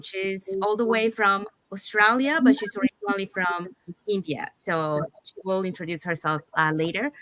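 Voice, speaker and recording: A woman from Panama hosting an online interview show in an informal, somewhat halting way. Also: medium pitch, pushed and bright, no room sound, rough recording. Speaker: talk show host.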